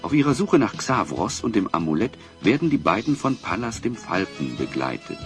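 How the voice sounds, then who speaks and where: A man's voice: fairly low pitch, very animated, normal speed. A man narrating a scripted fantasy adventure as a dramatic storyteller for an audio play. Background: music.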